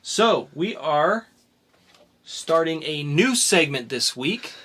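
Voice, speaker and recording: A male podcast co-host running through upcoming comic releases in a casual, upbeat way. His voice sits a little high and sounds bright and forceful. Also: animated, slow pace, slightly rough. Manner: listing items in a chatty, upbeat way.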